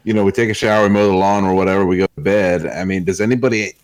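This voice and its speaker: A man with a slightly low voice speaks as a podcast co-host. His talk is casual, conversational and self-deprecating. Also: steady tone, rapid-fire, poor audio.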